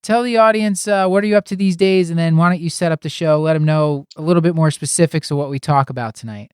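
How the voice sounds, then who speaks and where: A man's voice: on the high side, fast, a little mellow, smooth, no room sound, clean studio sound. A man hosting a medical podcast in a loose, chuckling way with frequent restarts. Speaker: podcast host.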